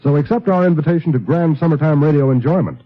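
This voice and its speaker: A man's voice: on the high side, fast talker. An American man making polished, formal scripted announcements in 1950s network radio style, on a vintage broadcast recording. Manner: polished, formal promotional delivery. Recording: muffled vintage radio broadcast, faint music.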